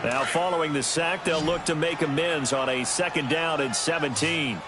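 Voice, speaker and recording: Male American football play-by-play announcer played by an actor, higher-pitched, polished and emphatic, video game broadcast, loud stadium noise behind. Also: normal speed, lightly acted. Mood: steady, professional. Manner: polished, emphatic on big plays. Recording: noisy game stream with stadium ambience.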